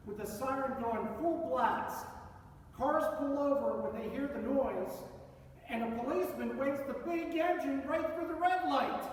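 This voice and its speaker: A man reading a children's story aloud as narration. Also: very high voice. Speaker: firefighter reading a children's book. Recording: echoey hall, distant mic, noisy background.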